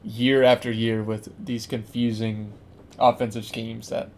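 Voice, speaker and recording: A man's voice: medium pitch, little pitch movement, unhurried, gravelly, darkish tone. Male podcast host, mid-range voice, casual sports chat, slightly noisy recording.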